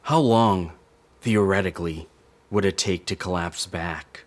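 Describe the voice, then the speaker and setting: An inquiring male crew member in a sci-fi audio drama. His voice sits a little on the low side. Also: measured pace. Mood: probing.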